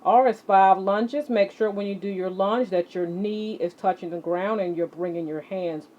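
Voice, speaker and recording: A woman teaching children as an exercise leader, speaking deliberately and emphatically, addressing her students directly. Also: mid-range voice, a little mellow. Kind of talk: instructional lesson to children, read-along with warm-up exercises. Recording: muffled audio with clear background noise.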